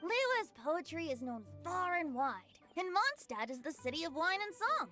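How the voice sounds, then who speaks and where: Carefree boy bard, high and lively, lilting, charming, anime game. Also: moderate pace, heavily acted. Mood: cheerful. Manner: lilting, poetic, playful. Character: cheerful, carefree boyish young male bard, playful and charming. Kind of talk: anime video game voice acting. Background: game music.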